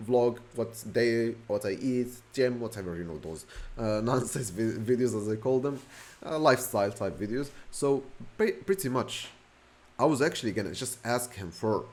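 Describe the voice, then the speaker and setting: A man's voice: medium pitch, lively intonation, a little mellow. Rambling young man with a Moroccan accent. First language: Moroccan Arabic. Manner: rambling, self-correcting, fast bursts with fillers. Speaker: vlogger. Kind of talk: casual talking-to-camera story.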